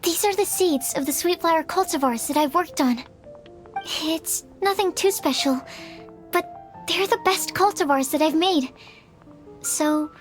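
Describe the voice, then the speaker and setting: High-voiced, earnest young woman researcher. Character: earnest, shy young female researcher, sweet and eager. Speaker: video game character. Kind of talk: scripted game dialogue acting.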